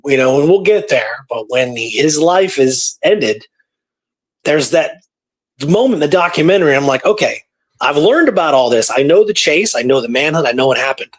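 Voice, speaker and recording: Male radio co-host, fairly high voice, conversational history storyteller. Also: animated, moderate pace. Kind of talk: casual history discussion.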